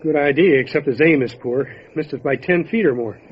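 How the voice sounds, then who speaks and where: Casting: man as resolute county sheriff for radio play, fairly high pitch, matter-of-fact, muffled lo-fi sound. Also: fairly quick, lightly acted. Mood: focused. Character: steady, determined male county sheriff in mountain country. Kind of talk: old time radio drama acting. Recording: dull lo-fi audio with light noise.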